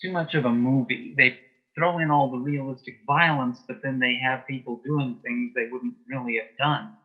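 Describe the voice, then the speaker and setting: A man speaking as the guest in a casual interview, giving measured, concise answers. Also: mid-range voice, fairly even intonation, phone-quality sound. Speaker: interview guest, author and playwright.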